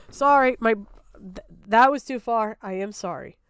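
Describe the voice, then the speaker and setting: Female livestream commentator, high smooth voice, close dry sound, exasperated and sarcastic, swears freely, unscripted. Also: slow, no echo. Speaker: streamer reacting to a video.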